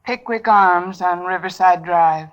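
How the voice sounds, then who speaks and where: A woman's voice: fairly low pitch, a little slow, highs cut off, lightly acted. An actress playing a poised, sardonic career woman in her thirties, dry and polished, in 1940s radio drama style. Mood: cool, composed. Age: 30s. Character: poised, sardonic best-dressed career woman confessing to murder. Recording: old radio broadcast with music underneath.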